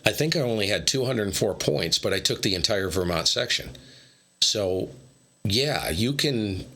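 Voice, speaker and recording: Male streamer, low-pitched with a sharp bright edge, plain-spoken, self-deprecating, chatting casually to viewers. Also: expressive, moderate pace. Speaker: ham radio live-stream host. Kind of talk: casual live chat and radio contacts.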